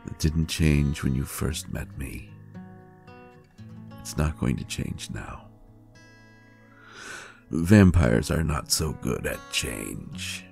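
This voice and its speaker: An ancient male vampire, acted by a man, speaks tenderly to a lover in an intimate audio drama. The voice is extremely low, with gravelly fry and a dark, mellow tone. He is soft, halting and sincere, with a melodramatic streak. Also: soft background music, exaggerated voice. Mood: sheepish, affectionate. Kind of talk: intimate roleplay audio acting.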